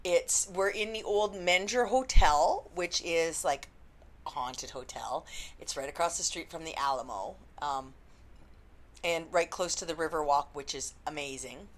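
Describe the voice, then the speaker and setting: A woman talks straight to camera in a loose, unscripted vlog, rambling with plenty of ums. Her voice sits a little low and carries a slight husky edge. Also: measured pace. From Canada. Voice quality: slightly husky after illness. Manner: chatty, rambling, frequent ums. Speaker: indie romance author.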